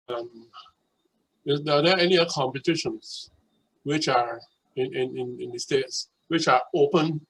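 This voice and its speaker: A man's voice: mid-range voice, a bit bright, poor audio. Guy asking a question on a call, lots of uhs, keeps repeating words, mid voice. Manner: halting, with many uhs and repeats. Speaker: webinar attendee asking questions.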